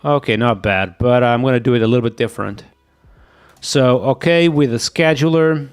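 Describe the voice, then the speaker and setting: A man with a Portuguese accent speaks English as a second language while he codes live. His voice sits at an ordinary middle pitch, and he sounds a bit sick. He mutters and thinks out loud, with soft music faintly behind him. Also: normal speed, clean tone. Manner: mutters to himself, repeats "yep" as he reads. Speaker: live-coding streamer. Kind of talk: thinking aloud while coding, chatting with viewers.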